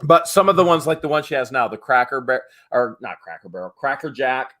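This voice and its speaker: A man hosting a live online auction stream, calling out bids excitedly and chatting with viewers. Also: medium pitch. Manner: excited, rapid bid calling. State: often loses voice after streams. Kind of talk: fast auctioneer-style live stream chat.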